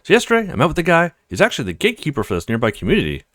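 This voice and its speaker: A man's voice: fairly high pitch, wildly swooping pitch, rapid-fire, a bit bright, no room sound, clean studio sound, full character voice. A man voicing an eager, chatty young student in a read-aloud of a video game. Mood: excited. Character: eager upbeat young student with a new job.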